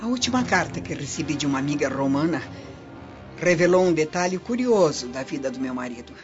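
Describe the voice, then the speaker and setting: A woman's voice: low voice, lively intonation, brisk. A woman acting a scheming, vengeful Roman noblewoman in a radio drama, with formal, theatrical, insinuating delivery. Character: scheming vengeful Roman noblewoman, falsely confiding prefect's wife. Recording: muffled lo-fi recording under loud music.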